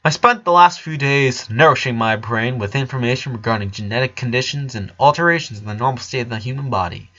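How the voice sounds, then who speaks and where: A man's voice: medium pitch, fast, hardly any pauses, sharp tone, muffled recording. A man voicing a precocious four-year-old genius girl with formal, wordy, matter-of-fact speech, in a cartoon fan fiction reading. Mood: clinical, calm.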